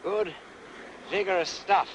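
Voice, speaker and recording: An actor playing an urbane, playful gentleman detective with an upper-class English accent, breezy and courteous in a British detective drama. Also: high-pitched, slow, a little hiss or hum, low-quality recording.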